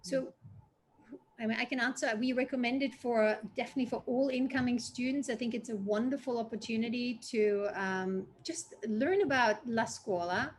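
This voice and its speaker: A woman's voice: on the high side, moderate pace, a little hiss or hum. A woman hosting a school webinar, moderating with brief friendly remarks. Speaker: school host.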